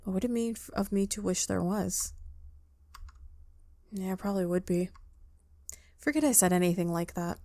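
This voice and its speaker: A woman's voice: mid-range voice, moderate pace, long pauses, warm tone, no echo. A woman playing a story game, reading the narration aloud and dropping in casual asides of her own. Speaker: video game player reading aloud and commenting.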